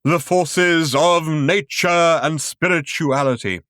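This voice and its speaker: A man voicing a bombastic showman orator from a galactic spectacle in a science fiction audiobook, grandiose, drawn-out and sentimental, with a thunderous delivery. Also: fairly high pitch, a bit bright, no room sound, clean studio sound, pitched above the performer's own voice. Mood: triumphant, grand.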